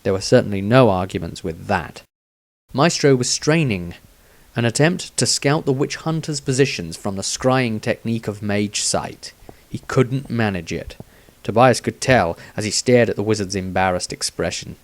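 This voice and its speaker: A man reading his own fantasy story as scripted narration, in a deliberate, dramatic manner. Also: fairly low pitch, animated, no echo.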